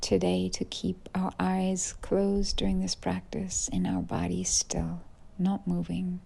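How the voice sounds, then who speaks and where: A woman leads a guided meditation in a lowish, very relaxed voice. Heavy creak runs through her speech. Her phrases end softly and breathily, with unhurried pauses between them. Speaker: yoga nidra teacher.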